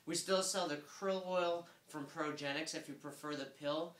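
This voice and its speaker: A man's voice: a little high, fairly even intonation, normal speed, fairly bright tone, echoey room. A man in his thirties giving a casual, upbeat weekly update to camera from a small office, talking with lots of hand gestures. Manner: upbeat, gestures a lot with both hands. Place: small office room with desk and chairs. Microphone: fixed camera a few feet away.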